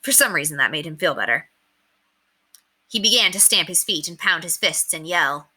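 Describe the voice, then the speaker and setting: Bright, very animated woman reading a children's story. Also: lowish voice, normal speed, breathy edge. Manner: animated, dramatic emphasis. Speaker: read-aloud storyteller. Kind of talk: expressive children's story narration.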